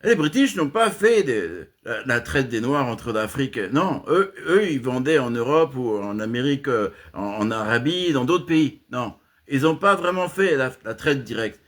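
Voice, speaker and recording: A man delivering an impassioned spoken rant to his channel, swinging from emphatic high outbursts to low muttered asides, with frequent restarts. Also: animated, normal speed, hardly any pauses, a bit bright. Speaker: channel host giving a monologue.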